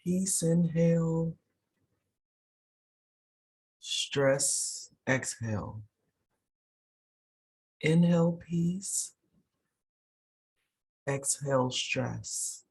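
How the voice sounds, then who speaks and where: A person leading a guided meditation in a soothing, unhurried way, pausing between short phrases. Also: mid-range voice, darkish tone, slightly rough, poor audio. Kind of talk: guided meditation, slow instructions.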